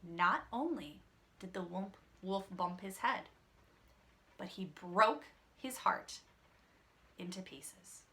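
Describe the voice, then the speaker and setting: A woman's voice: on the low side, slow, pauses often, pushed and bright. A woman reading a children's story aloud, dramatic and teacherly, with asides to the listeners.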